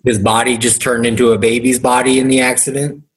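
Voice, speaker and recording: A man's voice: mid-range voice, flat delivery, brisk, rough recording. A man in a casual group discussion who makes dry, skeptical quips.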